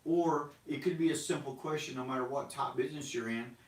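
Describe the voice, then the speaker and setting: A man in his forties hosting a casual, energetic sales talk to camera, rambling with restarts. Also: mid-range voice, brisk, reverberant, recorded from a distance, low-quality recording. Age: 40s. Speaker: host. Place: small studio room with banners and props.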